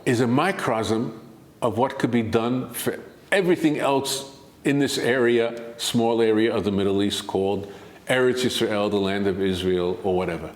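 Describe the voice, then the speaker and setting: An older man as a guest expert in an interview, answering in a measured, argumentative way that builds to emphatic points. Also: on the low side, roomy sound.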